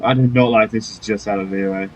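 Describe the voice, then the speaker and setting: A man's voice: lowish voice, fairly even intonation, fast talker, a little mellow, a little hiss or hum, rough recording. A man giving casual, offhand gameplay commentary as he plays. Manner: informal, offhand reactions. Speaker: gaming video host.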